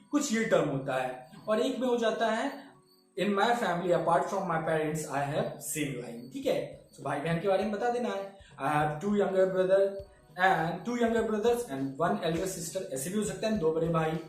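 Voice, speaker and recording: Man, high voice, very echoey room, classroom teacher, checks understanding. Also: a little mellow. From Bihar, India. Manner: mixes Hindi and English, asks "samajh mein?". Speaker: English teacher. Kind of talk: classroom lesson.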